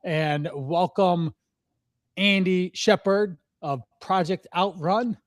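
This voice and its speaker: Man, forties, podcast host, chatty, upbeat, slightly higher voice, dry close sound. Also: takes it slowly, dry recording. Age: 40s. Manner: chatty, upbeat, laughs often. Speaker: podcast host, fitness coach. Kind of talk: casual podcast conversation.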